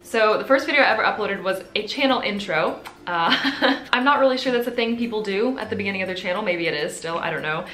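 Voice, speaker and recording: A woman in her twenties with a soft, quiet voice, chatting casually to camera as a video host. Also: mid-range voice, quick pace, a little hiss or hum. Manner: soft-spoken, chatty with fillers. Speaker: YouTuber. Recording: roomy echo.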